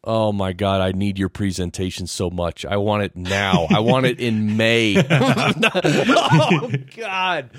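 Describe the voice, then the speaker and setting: A man's voice: on the low side, expressive, slow, hardly any pauses, fairly bright tone. A man hosting a podcast interview, joking and enthusiastic in casual chat. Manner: jokey, enthusiastic. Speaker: podcast host.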